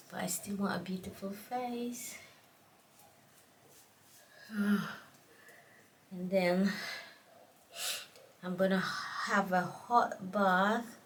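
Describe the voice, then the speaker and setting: A middle-aged woman with a Jamaican accent, vlogging casually while congested from a cold, talking in a slow, tired, rambling way. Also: mid-range voice, recorded from a distance, slight background noise. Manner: slow, tired, rambling talk with many fillers. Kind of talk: casual vlog chat.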